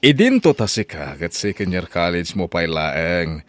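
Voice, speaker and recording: Low-voiced, animated stern father. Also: unhurried, heavily acted. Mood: scolding. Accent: Ilocano. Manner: scolding, exasperated. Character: stern, exasperated well-off father of college-age daughter. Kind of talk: Ilocano radio drama acting.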